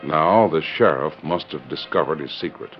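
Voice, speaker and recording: A man's voice: a bass voice, normal speed, faint music behind. A man narrating a western radio drama in scripted, dramatic 1940s style. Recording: dull 1940s radio recording.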